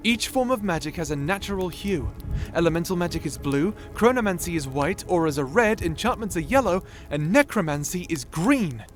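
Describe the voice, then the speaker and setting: Young male wizard, played by a man, audio drama: high, very animated, nerdy, self-doubting stammerer, music underneath. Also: moderate pace, hardly any pauses. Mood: excited, explaining. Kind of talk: scripted audio roleplay acting.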